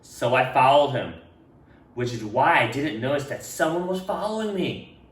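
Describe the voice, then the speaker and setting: A man reading a picture book aloud to children in an expressive manner, speaking to them directly. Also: medium pitch, normal speed. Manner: expressive, addresses children directly, acts out dialogue. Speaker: read-aloud storyteller for children. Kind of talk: animated picture-book read-aloud with asides. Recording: roomy echo, distant microphone.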